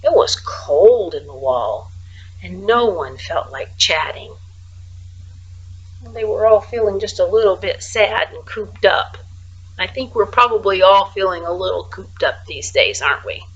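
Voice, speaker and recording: A woman reading a children's storybook aloud like a teacher, expressively, with casual, hushed asides. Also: medium pitch, expressive, measured pace, lo-fi sound, a little hiss or hum.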